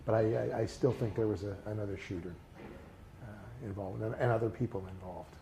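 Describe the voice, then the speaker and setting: An older man reminiscing in a casual interview, speaking haltingly with many fillers and restarts. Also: on the low side, vocal fry, background noise. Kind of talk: recalled personal memories in a casual interview.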